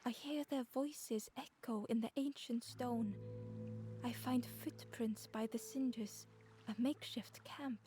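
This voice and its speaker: A woman narrating a video game in a calm, measured, wistful storybook style. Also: fairly high pitch, lightly acted. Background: game music.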